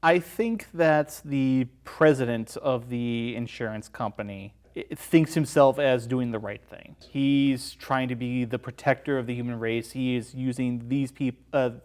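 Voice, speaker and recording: A man's voice: mid-range voice, unhurried, few pauses, darkish tone. A man appearing as a guest on a film review show, giving his thoughts in casual discussion.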